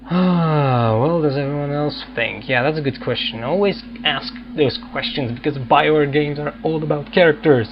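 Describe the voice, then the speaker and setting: A man's voice: a little high, normal speed, hardly any pauses, muffled recording, background noise. A man giving casual let's play commentary, musing and hesitantly thinking aloud. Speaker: gaming video host.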